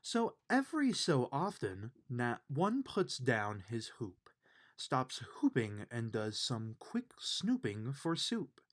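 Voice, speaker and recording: A man reading a rhyming children's bedtime book aloud in an expressive, sing-song way. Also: mid-range voice, takes it slowly.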